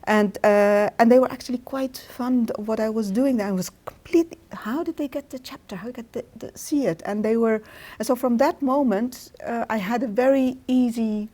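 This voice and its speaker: A woman's voice: fairly high pitch, moderate pace, hardly any pauses, dark tone. Middle-aged female professor, Tunisian-born, reflective interview answers, hesitant delivery. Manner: thoughtful, hesitant with restarts. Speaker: guest professor.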